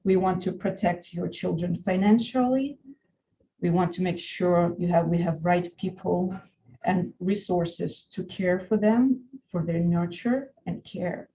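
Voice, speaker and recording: A woman with a Russian accent speaks in a low, mellow voice, earnest and deliberate, often restarting a phrase. She sounds like a lawyer giving a webinar, and the audio is dull and lo-fi with a faint hiss underneath. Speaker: attorney presenting a webinar. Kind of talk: scripted webinar presentation.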